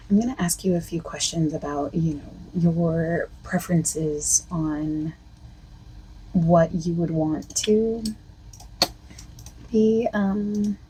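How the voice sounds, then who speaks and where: Woman, soft-spoken, half-whispered, slow, low-ish pitch, hesitant fillers, faint background noise. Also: low-quality recording. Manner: hesitant, filler-heavy phrasing. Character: female costume consultant asking the listener questions. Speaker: ASMR roleplay host. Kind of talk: softspoken ASMR roleplay.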